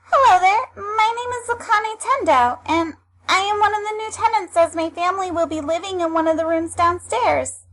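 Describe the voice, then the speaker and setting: A woman playing a polite, sweet high-school girl, speaking earnestly in an audio drama. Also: normal speed, a bit bright, rough recording. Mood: friendly. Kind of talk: fan audio drama acting. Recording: clear background noise, clicks.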